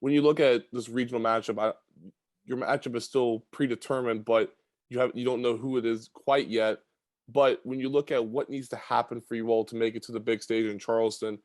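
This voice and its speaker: A man hosts a basketball podcast with a mid-pitched voice. His long questions wander and lean on fillers. The sound is dry and close. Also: a little fast, a little mellow, dry recording. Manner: chatty, filler-heavy questions. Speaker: podcast host. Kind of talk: sports interview podcast.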